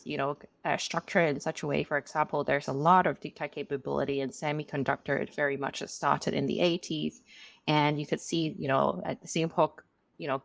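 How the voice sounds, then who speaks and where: Low, gravelly woman from Hong Kong. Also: no echo. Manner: chatty, many fillers like "you know". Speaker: venture capital partner, guest. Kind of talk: casual interview answers.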